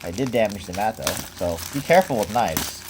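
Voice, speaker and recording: A man's voice: fairly low pitch, a little fast. A young man casually chatting while unboxing trading cards at home, rambling with lots of fillers. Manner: rambling, relaxed, many fillers. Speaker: card collector unboxing. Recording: noisy home recording. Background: crinkling of packaging.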